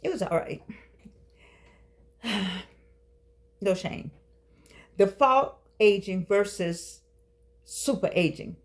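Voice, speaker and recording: Older lady hosting her own show, kinda high voice, sassy and preachy, drags words out. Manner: playful, preachy, coaxing, with dramatic drawn-out phrases. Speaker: host. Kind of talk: casual talk show monologue. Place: home studio with keyboard.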